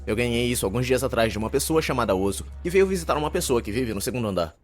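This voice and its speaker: Man voicing a fast-talking neurotic Brazilian student. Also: mid-range voice, lively intonation, soft background music, lightly acted. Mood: musing. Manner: wordy, pompous, theatrical. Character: neurotic, verbose young male university student shut-in narrator. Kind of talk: dubbed anime narration, rapid inner monologue.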